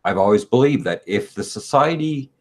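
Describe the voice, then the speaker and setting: A man from Quebec whose first language is French talks in a relaxed conversation with a fairly low voice. He gropes for words, fills pauses with ums and uhs, and now and then loses his train of thought. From Quebec, Canada. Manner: halting, many fillers, loses his thread. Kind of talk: casual debate conversation.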